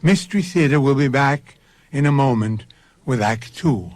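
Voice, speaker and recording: A man hosting and narrating a radio mystery drama in a measured, ominous manner. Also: mid-range voice, expressive, darkish tone, no room sound, soft background music. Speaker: host and narrator.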